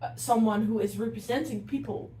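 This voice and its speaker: Woman councillor, direct and earnest, kinda high voice, echoey and distant. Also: fairly quick, mellow. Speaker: city councillor. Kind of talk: casual discussion. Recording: echoey room, mic some distance off, faint noise.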